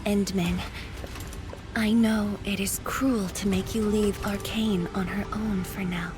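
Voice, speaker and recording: A medium-pitched female voice speaks with music behind it, an actress in a game. She is a composed commander, grave and official, choosing formal, measured phrasing. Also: fairly even intonation, lightly acted. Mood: grave, resolute. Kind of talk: video game voice acting.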